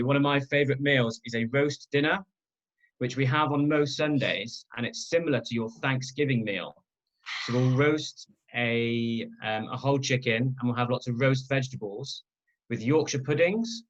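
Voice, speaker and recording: Calm English man, muffled call audio. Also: mid-range voice. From England. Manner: calm, explanatory. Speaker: facilitator. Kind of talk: casual video call chat. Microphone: video call.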